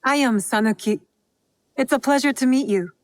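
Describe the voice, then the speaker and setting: A woman's voice: sits high, normal speed, no echo, lightly acted. An actress playing an earnest, reserved young woman in a video game, polite yet plain-spoken. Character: earnest, reserved, blunt young female student of tea. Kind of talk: video game cutscene acting.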